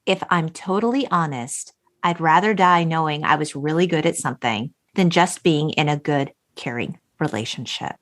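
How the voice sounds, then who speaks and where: A woman hosting a podcast, chatting casually and retelling film dialogue in an animated way. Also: sits low, moderate pace, few pauses. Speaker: podcast host. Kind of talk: casual chat, recapping a film.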